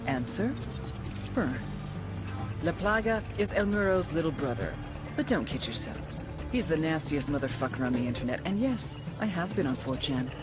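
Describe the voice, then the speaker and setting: A woman's voice: low-pitched, expressive, normal speed, over music. An American woman playing a hard-edged, cynical female intelligence agent in a video game, dry and sardonic. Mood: wry briefing. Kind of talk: scripted game cutscene narration and dialogue.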